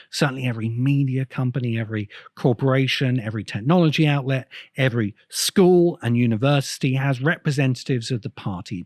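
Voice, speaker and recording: A man giving unscripted spoken commentary as a video essayist, explaining things deliberately with emphatic pauses. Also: medium pitch, no echo, clean studio sound.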